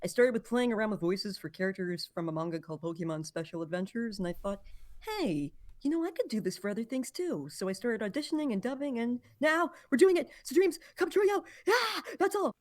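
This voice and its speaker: A young woman talks about her start in dubbing with rising excitement. Her pitch moves around a lot and her tone is upbeat. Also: brisk. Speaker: hobby voice actor panelist. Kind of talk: casual panel chat.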